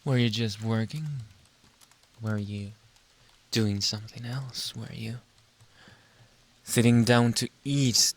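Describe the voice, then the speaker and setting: A man acting a slow, whispery jealous boyfriend. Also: medium pitch. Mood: suspicious, accusing. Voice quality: breathy, often dropping into whisper. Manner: theatrical, slow, drawn-out interrogating with dramatic pauses. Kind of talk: ASMR roleplay, close whispering and soft speech.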